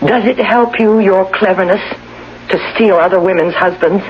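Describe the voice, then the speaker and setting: An actress in a radio drama playing a bitter, suspicious, despairing invalid wife with a terse, accusing manner. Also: female voice, mid-range voice, moderate pace, natural voice. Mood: cold, suspicious. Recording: dull, hissy old radio broadcast.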